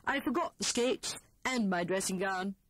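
A British woman voicing an excitable, cheeky male hare in a children's storybook. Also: medium pitch, moderate pace, no room sound, close to an everyday voice. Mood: flustered. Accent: British English.

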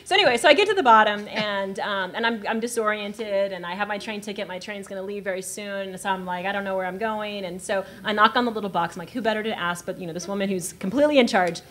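A woman in her thirties telling a lively story on a literary panel, laughing and acting out the scene, into a table mic in front of an audience. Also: medium pitch, fast talker, hardly any pauses. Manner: animated, laughing, acts out the scene. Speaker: panel writer. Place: gallery event room with audience.

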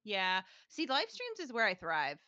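A woman co-hosting a casual podcast chat, talking fast with lots of fillers and frequent laughs. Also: medium pitch, huge pitch swings, sharp tone, dry recording, highs cut off. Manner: chatty, laughs often, many fillers. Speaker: podcast co-host, streamer.

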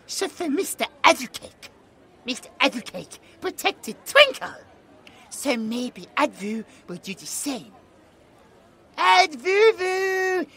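A man's voice: very high-pitched, big pitch swings, slow pace, bright, forceful tone, exaggerated voice. A British man voicing a childlike possessed young man who talks in broken baby-talk about himself in the third person, in an ASMR roleplay. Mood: childlike, excited.

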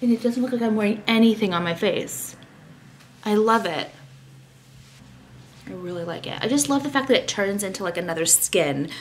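A woman's voice: mid-range voice, normal speed, long pauses, slight background noise. A woman in her thirties chatting casually to camera in her bedroom, rambling and self-deprecating as she shows a skincare product. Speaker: vlogger. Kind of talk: casual vlog chat with product talk. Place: bedroom at home, indoors. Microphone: camera at arm's length.

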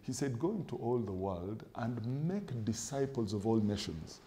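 A middle-aged man, a pastor, answering in a studio interview with a measured, emphatic preaching style, building points in lists and quoting scripture, on a lapel mic. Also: medium pitch, sing-song, mellow, a little hiss or hum. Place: TV studio set with sofas.

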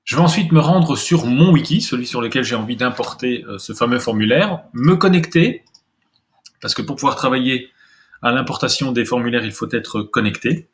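A man with a medium-pitched voice walks through a software demonstration step by step. He speaks as a tutorial presenter, pausing and thinking aloud as he goes. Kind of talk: screencast tutorial, explaining step by step.

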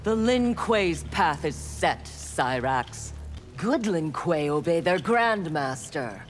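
Deep-voiced, stern ninja enforcer. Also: female voice, animated, slow pace. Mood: cold, reproachful. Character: stern, loyal female armored ninja enforcer. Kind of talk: scripted video game dialogue. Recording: music behind the voice.

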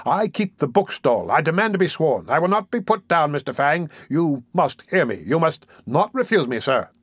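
A man's voice: fairly high pitch, expressive, a little fast, dry recording, clean studio sound. A man voicing a poor, earnest elderly bookstall keeper in an audiobook, out of breath and insistent. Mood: determined, insistent. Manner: urgent, insistent, pleading.

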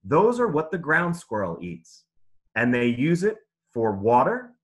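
A man's voice: medium pitch, sing-song, a little slow. A man reading aloud and teaching young children in simple, encouraging, instructive phrases during an online lesson. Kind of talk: interactive children's read-aloud lesson over video call.

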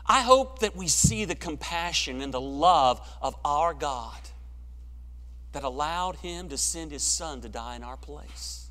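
Middle-aged man, preacher, high voice, impassioned sermon, big church hall reverb. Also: animated, unhurried, fairly bright tone. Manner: impassioned, rising to emphatic peaks then dropping low. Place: church sanctuary, large room.

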